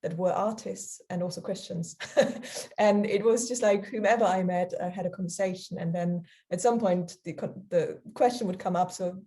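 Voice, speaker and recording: This woman, her voice pitched fairly low and mellow in tone. She answers questions casually and hesitantly, filling pauses with ums and laughing easily. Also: fairly even intonation. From Germany. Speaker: guest, charity founder.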